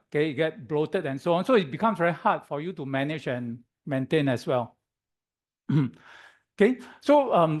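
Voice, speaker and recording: Hoarse man giving a tech talk. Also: on the high side, a little mellow. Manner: halting, many "um"s, keeps checking in with "okay?". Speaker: guest speaker at a tech meetup. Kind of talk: conference presentation. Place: office meetup room.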